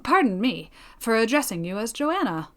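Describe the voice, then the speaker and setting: A woman reading a storybook voices a devoted, cautious gentleman suitor who pleads in formal period speech. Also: a little high, very animated, moderate pace. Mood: solemn pleading.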